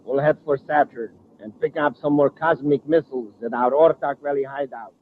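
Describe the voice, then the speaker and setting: A man's voice: mid-range voice, fairly even intonation, moderate pace, close to an everyday voice. A man playing a ruthless, scheming outlaw leader in a 1950s space radio serial, recorded with muffled sound. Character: ruthless, scheming male space villain boss. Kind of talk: 1950s radio adventure acting.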